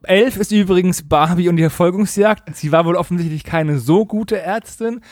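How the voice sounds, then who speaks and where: Wry man with lightly raised pitch. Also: moderate pace, no echo, studio quality. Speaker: podcast co-host. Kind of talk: casual chat.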